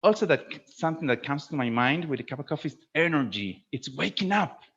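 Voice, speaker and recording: Male webinar trainer. Forceful, bright delivery with frequent check-ins to viewers; dull online-call sound. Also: fairly high pitch, lively intonation, brisk, slightly rough. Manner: checks in often with "okay?". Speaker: teacher trainer, webinar presenter. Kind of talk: informal webinar lecture, addressing viewers.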